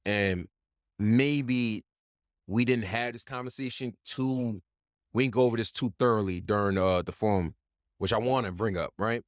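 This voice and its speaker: A man's voice: fairly low pitch, moderate pace, no room sound, studio quality. A man in casual slangy podcast talk, often checking in with his listeners. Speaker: podcast participant.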